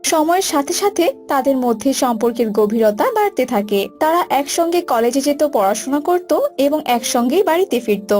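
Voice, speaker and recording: A woman's voice: high voice, animated, normal speed, darkish tone. A woman reading scripted narration for a cartoon story. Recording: music bed behind voice.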